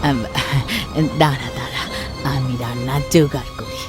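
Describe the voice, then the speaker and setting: A woman voicing an old village grandmother in a cartoon folk tale, with a drawn-out, repetitive way of talking. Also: a bass voice, fairly even intonation, over music. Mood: sly, coaxing.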